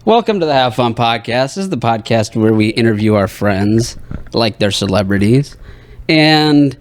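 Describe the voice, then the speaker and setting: A young man hosts a casual podcast, joking around and tripping over his own sentences in a goofy way. His voice sits at a middling pitch, with a faint hiss behind it. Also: expressive, normal speed. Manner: goofy, joking, self-interrupting. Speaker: podcast host. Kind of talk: casual chat.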